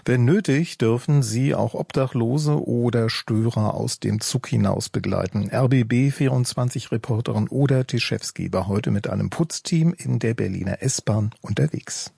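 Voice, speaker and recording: A man presenting radio news in a measured, formal newsreading manner. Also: mid-range voice, creaky, warm tone, slightly rough, no room sound, studio quality.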